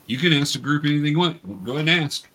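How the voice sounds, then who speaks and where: A man's voice: on the high side, lively intonation, fast, fairly bright tone. A man explaining and narrating a tabletop game as game master, casually and patiently.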